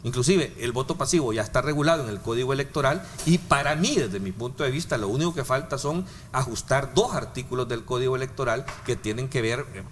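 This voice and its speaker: Salvadoran man, talk show guest, mid pitch, argues in step-by-step examples, faint noise behind. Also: quick pace, few pauses. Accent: Salvadoran Spanish. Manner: argumentative, gives worked examples. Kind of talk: political discussion.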